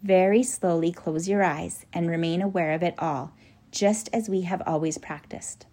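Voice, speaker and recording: A woman's voice: mid-range voice, lively intonation, moderate pace. Woman reading as a grave, kindly male professor. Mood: calm, instructing. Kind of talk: children's storybook character voice.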